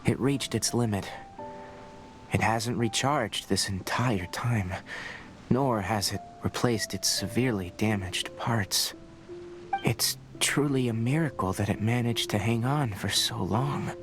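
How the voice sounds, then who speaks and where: A man voicing a calm, scholarly young forest ranger who speaks in a measured, explanatory, matter-of-fact way in a video game. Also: on the low side, natural voice. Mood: somber. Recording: game soundtrack behind. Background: game music.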